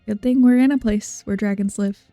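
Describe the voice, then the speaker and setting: A woman's voice: mid-range voice, fairly even intonation, fairly quick, dry recording. A young woman giving casual, rambling, playful game commentary as she thinks aloud. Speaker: game streamer. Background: soft game music.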